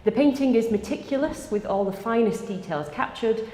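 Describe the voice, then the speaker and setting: A woman's voice: fairly high pitch, animated, normal speed, mellow, slight background noise. A woman giving a scripted presentation as coordinator of a gallery exhibition, introducing an artwork. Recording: echoey hall with long reverb.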